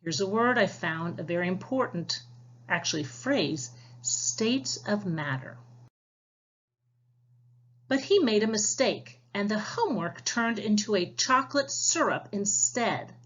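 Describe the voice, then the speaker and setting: A woman's voice: medium pitch, expressive, a little slow, dull audio. A woman teacher giving a read-aloud science lesson, addressing children directly with instructions and prompting questions. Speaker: teacher reading a picture book aloud to young pupils.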